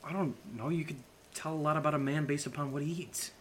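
A man's voice: fairly high pitch, fairly even intonation, fast talker, a bit bright, a little hiss or hum, natural voice. A man playing a sarcastic, hot-headed, foul-mouthed amateur sleuth in an audio drama, in naturalistic banter. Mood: indignant. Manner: sarcastic, profane.

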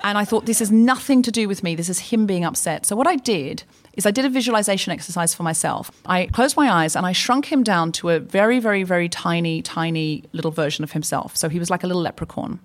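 A woman's voice: fairly low pitch, fast, hardly any pauses. Chatty woman telling stories on stage. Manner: chatty, anecdotal, acts out both sides of dialogue. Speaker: podcast co-host. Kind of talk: live comedy podcast chat and storytelling. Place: live stage before an audience.

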